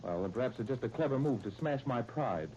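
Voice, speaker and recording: A man acts out a jealous, proud American husband in a vintage radio soap. His delivery is mellow but swings widely in pitch, with bitter sarcasm. The recording is muffled and noisy, from the 1930s. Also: animated, brisk, close to an everyday voice. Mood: jealous, accusing.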